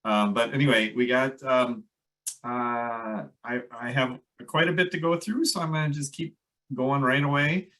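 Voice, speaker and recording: A man's voice: medium pitch, steady tone, measured pace, pushed and bright, recorded from a distance. A man with a Minnesota accent giving a workshop talk over a video call, loose and hesitant with many fillers. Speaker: landscape ecologist presenter. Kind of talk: online workshop presentation.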